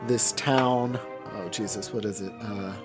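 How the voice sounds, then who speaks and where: A man hosting a podcast, recapping films casually in halting, colloquial speech with some swearing. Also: fairly low pitch, fairly even intonation, soft background music. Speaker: podcast host. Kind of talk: casual film discussion and recap.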